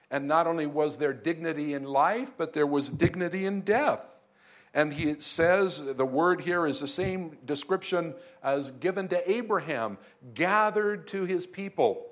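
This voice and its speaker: A man preaching a sermon to a congregation in a room, speaking in a measured way with emphatic lifts on key points. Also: on the high side, fairly bright tone. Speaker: pastor. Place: church room in Beijing.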